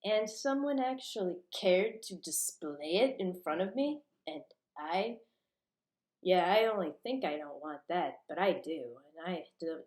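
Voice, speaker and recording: A woman's voice: lowish voice, expressive, measured pace, darkish tone, roomy sound. A woman delivering a rambling, profane rant that jumps from topic to topic in an agitated manner.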